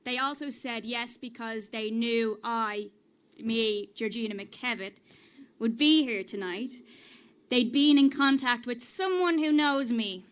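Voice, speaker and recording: An actress with an Irish accent playing a nervous, game audience member, haltingly reading a script aloud on stage. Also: female voice, on the high side, clear, resonant tone. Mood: nervous, wry. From Ireland. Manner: hesitant, halting. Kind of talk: live storytelling performance, reading from a script. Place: on stage at a live cellar event. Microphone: stage microphone.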